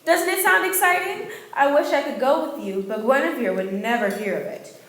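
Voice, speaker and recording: A woman's voice: sits high, fairly quick, a bit bright. A woman playing a courteous, regal king in a radio play, speaking in a formal, archaic manner. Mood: genial, eager. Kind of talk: stage-like amateur radio play acting. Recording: roomy live room, faint music.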